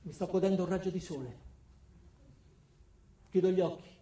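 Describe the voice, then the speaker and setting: A man performing a comic first-person theatre monologue in short clipped phrases with dramatic pauses. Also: high voice, dark tone. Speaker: stage actor performing a monologue. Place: indoor theatre. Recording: roomy, echoey stage with background noise.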